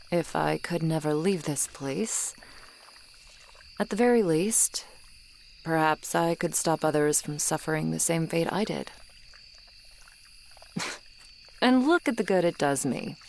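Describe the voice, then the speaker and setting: Woman playing a slow, low-voiced tsundere monster girl. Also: expressive, vocal fry, slight background noise, exaggerated voice. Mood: bitter, sardonic. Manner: slow, sardonic, haughty but softening. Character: tsundere swamp monster girl, ageless, grudgingly kind, aloof. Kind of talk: ASMR roleplay acting, addressed to the listener. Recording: night swamp ambience with insects behind. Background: crickets and insect ambience.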